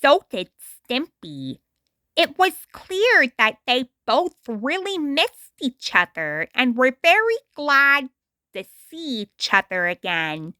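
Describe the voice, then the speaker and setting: A woman reading a story aloud as an amateur storyteller, deliberate and expressive. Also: sits high, no room sound. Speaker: amateur storyteller reading their own adaptation. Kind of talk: storybook read-aloud narration.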